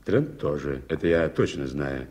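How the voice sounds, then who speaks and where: An actor playing a polite, well-informed gentleman in a Soviet radio play, speaking in a measured, explanatory way. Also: extremely low pitch, expressive. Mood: earnest, explanatory. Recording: noisy 1980s radio play recording.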